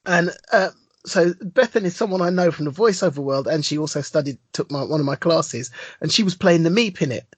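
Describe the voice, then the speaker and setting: A man's voice: a little high, expressive, fairly quick, breathy edge, dry recording. A man co-hosting a podcast, telling a long story with a lot of enthusiasm and rambling asides. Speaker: podcast co-host. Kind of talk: casual chat.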